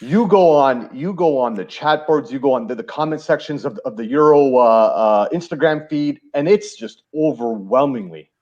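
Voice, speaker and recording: A man's voice: mid-range voice, lively intonation, normal speed, few pauses, darkish tone. Wry Canadian man on lo-fi audio. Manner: wry, searching for words with fillers. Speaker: podcast panelist. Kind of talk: casual sports chat.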